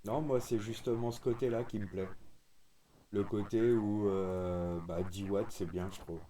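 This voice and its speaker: A man's voice: fairly low pitch, steady tone, unhurried, noisy background. A man chatting casually and enthusiastically as a radio hobbyist, in an informal way. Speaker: radio amateur streaming a group conversation.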